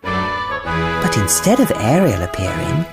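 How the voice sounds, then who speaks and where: A woman narrating a scripted children's bedtime story in an expressive, theatrical storytelling manner. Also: extremely low pitch, slow pace, vocal fry, loud music behind the voice.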